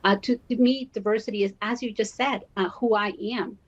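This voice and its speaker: A woman from Guatemala and Miami giving measured, deliberate, explanatory answers as a guest in a broadcast interview, often checking in as she goes. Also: a little high, fairly even intonation, highs cut off. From born in Guatemala, raised in Miami, Florida. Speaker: university diversity officer, interview guest.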